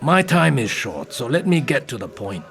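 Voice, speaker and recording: An actor playing a persistent, self-assured middle-aged detective, wry and insistent in audio drama dialogue. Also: male voice, mid-range voice, big pitch swings, normal speed, vocal fry, fairly bright tone, soft background music. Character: persistent, smooth-talking middle-aged male senior detective.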